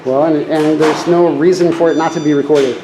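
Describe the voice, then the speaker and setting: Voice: medium pitch, fairly even intonation, a little fast, crowd noise. A member of the public arguing indignantly with officials, insistently repeating objections. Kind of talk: confrontational argument at a meeting entrance. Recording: echoey, clearly noisy on-location recording.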